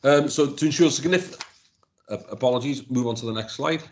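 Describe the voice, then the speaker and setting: Halting man presenting. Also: mid-range voice, slight background noise. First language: Welsh. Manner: halting, many repeated words and restarts. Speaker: council education officer. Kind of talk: presenting slides to a council panel. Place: council meeting room.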